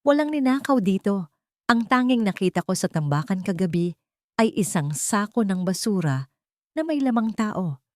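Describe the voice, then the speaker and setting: A woman's voice: medium pitch, animated, moderate pace, dry recording, clean studio sound, close to an everyday voice. A woman voicing a brave, poor male scavenger, firm and protective, in an audio drama. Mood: defiant. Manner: firm, determined.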